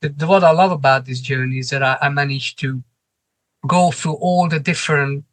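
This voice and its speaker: Hungarian-accented man, halting and dry. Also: fairly high pitch, fairly bright tone, no echo. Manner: halting, restarts phrases, non-native grammar. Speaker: cinema manager, guest. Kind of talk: podcast interview answers.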